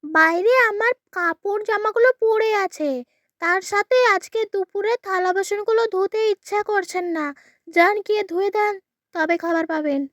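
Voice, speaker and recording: A woman's voice: extremely high pitch, normal speed, no echo, studio quality, pitched above the performer's own voice. A woman voicing a spiteful young daughter-in-law who scolds and mocks. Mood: sneering. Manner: scolding, mocking, sharp.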